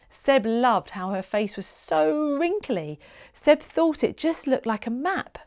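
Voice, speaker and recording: A woman reading a children's storybook aloud as an expressive read-along narrator, with dramatic emphasis. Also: a little high.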